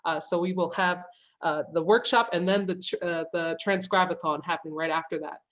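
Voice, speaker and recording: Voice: medium pitch, fairly even intonation, brisk, a bit bright. An event host giving an informal, welcoming announcement to online viewers. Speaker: host of a museum youth program.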